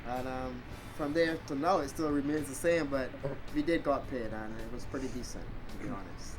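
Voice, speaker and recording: A man with a Barbadian accent giving casual, halting interview answers as a jockey. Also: mid-range voice, noisy background.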